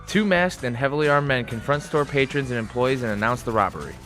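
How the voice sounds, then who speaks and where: A man's voice: mid-range voice, fast talker, fairly bright tone. A man reading scripted dramatic narration as the narrator of a radio play. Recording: music behind the voice.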